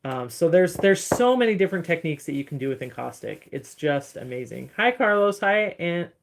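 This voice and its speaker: A woman with a deep voice chatting casually on a phone livestream from her studio, rambling a little as she answers viewers' comments. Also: animated, fairly quick, noisy background. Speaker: encaustic artist streaming live. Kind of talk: casual livestream chat while working.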